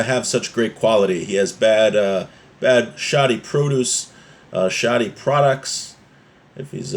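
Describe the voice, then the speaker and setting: Male religious teacher, mid-pitched, informal and explanatory, moving between reading a legal text and commenting on it. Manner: explanatory, often checking with "right?".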